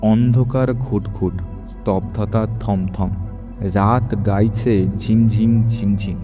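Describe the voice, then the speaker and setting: A man's voice: lowish voice, steady tone, slow pace, warm tone. A man narrating a scripted story dramatically, in a suspenseful, theatrical manner with words repeated for effect. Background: music.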